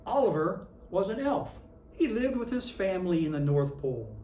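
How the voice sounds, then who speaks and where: Expressive storybook reader in an echoey room. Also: medium pitch, moderate pace. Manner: expressive storytelling with acted character lines. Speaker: storybook reader in character as Santa Claus. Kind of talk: children's picture book read-aloud. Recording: roomy, distant mic with faint noise.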